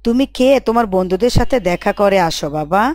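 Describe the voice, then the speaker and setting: A woman voicing a gentle mother who warmly welcomes her son home. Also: medium pitch, expressive, fast, dry recording, natural voice. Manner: tender, motherly.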